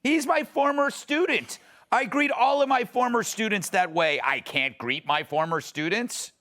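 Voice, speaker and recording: A man's voice: high voice, measured pace, pushed and bright, voice pushed up. A man playing a defensive, flustered older lawyer who protests in a mock dramatic reading.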